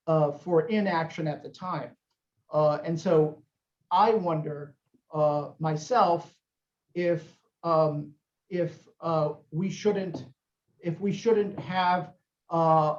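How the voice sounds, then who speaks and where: A man's voice: fairly high pitch, reverberant, dull audio. A man on an academic panel making an earnest argument, with frequent restarts. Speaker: historian on panel.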